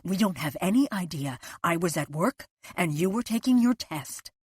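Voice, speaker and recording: A tense, controlling husband, as a woman narrator puts him on, speaking in clipped, hushed orders. The read is close and dry, kept level and firm. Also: lowish voice, animated, moderate pace, breathy edge, no room sound. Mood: stern. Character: controlling, tense husband hushing his wife. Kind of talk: audiobook character voice.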